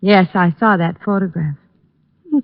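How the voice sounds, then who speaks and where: A woman's voice: on the low side, normal speed, close to an everyday voice. Actress as a coy girlfriend, refined, sweetly insistent, a little jealous, old radio drama, tinny narrow sound. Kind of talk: 1940s-50s American radio acting. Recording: thin phone-like vintage radio recording.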